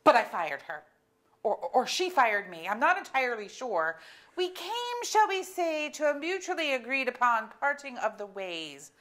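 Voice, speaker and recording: A woman's voice: high voice, big pitch swings, normal speed, fairly bright tone. A woman playing a blunt, irritable middle-aged wife and weary therapist in a staged play reading, with an emphatic, sardonic delivery. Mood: sardonic, exasperated.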